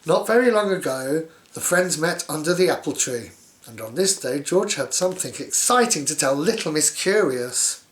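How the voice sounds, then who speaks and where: A man's voice: on the high side, animated, moderate pace, fairly bright tone, echoey room, rough recording. A man reading a children's story aloud, dropping in sarcastic, mocking asides as he goes. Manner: sarcastic, mock-commentating on the story. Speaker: storybook reader.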